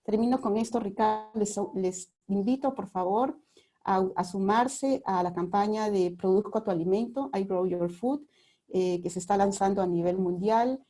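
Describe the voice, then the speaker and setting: Woman presenter, warm dark tone, earnest appeals, video call. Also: medium pitch, moderate pace. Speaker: guest speaker. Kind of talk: webinar presentation.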